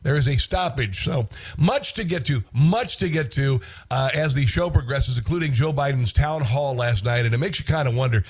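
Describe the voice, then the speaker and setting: A man's voice: medium pitch, normal speed, husky. A congested man with an American accent hosting talk radio in a casual, wry monologue, repeating phrases for emphasis.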